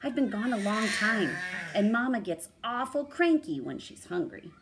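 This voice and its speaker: A woman's voice: on the high side, lively intonation, normal speed, background noise, pitched above the performer's own voice. A woman voicing a worried young female hyena in a storybook read-aloud. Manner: fretful, worried.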